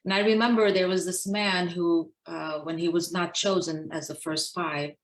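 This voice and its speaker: A middle-aged woman giving a prepared personal talk as a guest speaker, in a measured, explanatory manner. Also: lowish voice, fairly even intonation, poor audio. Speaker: guest speaker, Navy dentist.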